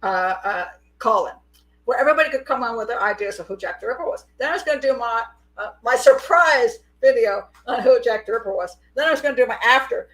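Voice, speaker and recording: A middle-aged woman hosts a casual live call-in with a fairly high-pitched voice. She talks in a loose, chatty way and often breaks into laughter. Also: lively intonation, fast talker, fairly bright tone, poor audio. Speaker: host.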